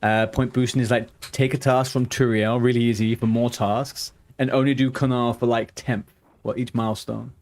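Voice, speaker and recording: Chatty man with a softened London accent. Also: medium pitch, little pitch movement, normal speed. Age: 30s. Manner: chatty and rambling, answers viewers' chat aloud. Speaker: game streamer. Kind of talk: casual live-stream chat.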